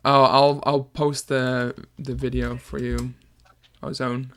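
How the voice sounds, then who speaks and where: A man's voice: mid-range voice, level tone, slow pace, a bit bright. A young man from Denmark with a Danish accent, chatting casually and laughing often while making music at home on a desk mic. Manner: laid-back, laughs often, hesitant restarts. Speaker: music producer streamer. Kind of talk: casual chat while producing.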